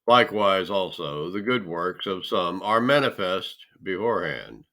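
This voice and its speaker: A man reading scripture aloud in a solemn style, with emphatic, deliberate phrasing and pauses. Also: low voice, sing-song, bright, forceful tone. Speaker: scripture reader.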